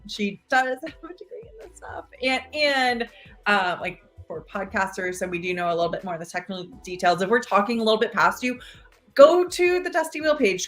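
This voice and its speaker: A woman's voice: mid-range voice, a bit bright. A woman chatting casually and enthusiastically as a talk show co-host, in informal, gushing language. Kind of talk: casual chat.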